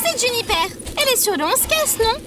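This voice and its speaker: Squeaky, irritable little creature. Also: female voice, sing-song, a little fast, very noisy. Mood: indignant.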